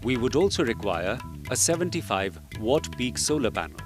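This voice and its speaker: A man reading scripted instructional narration in a measured, explanatory way. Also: medium pitch, very animated. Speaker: training film narrator. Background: background music.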